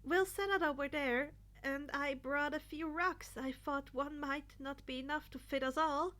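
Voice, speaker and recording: A woman's voice: high voice, unhurried, bright, forceful tone. A woman voicing a friendly, eager teenage boy in a story, rambling a little as he goes. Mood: cheerful.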